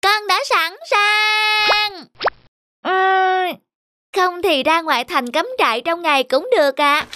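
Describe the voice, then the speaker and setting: A woman's voice: extremely high pitch, slow, noisy background. A woman voicing a cheerful, eager little daughter in a children's cartoon dub, chirpy and playful.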